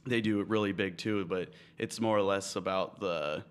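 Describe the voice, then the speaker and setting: A man's voice: deep voice, steady tone, moderate pace, clear, resonant tone. A man, a guest on a radio show, talking in a loose, laid-back way. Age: 30s. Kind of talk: casual on-air interview.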